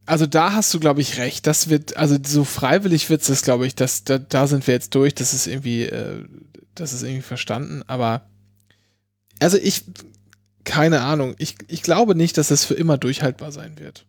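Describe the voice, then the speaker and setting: A man's voice: fairly high pitch, normal speed, slightly rough, no echo, clean studio sound. A man chatting casually, explaining legal points with many fillers and restarts. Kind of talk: casual chat explaining law.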